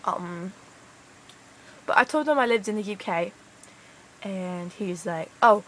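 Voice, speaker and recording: A woman's voice: medium pitch, animated, slow pace, low-quality recording. A young woman from Canada rambles casually in a put-on British accent, sounding tired, with crude slang and a hesitant manner.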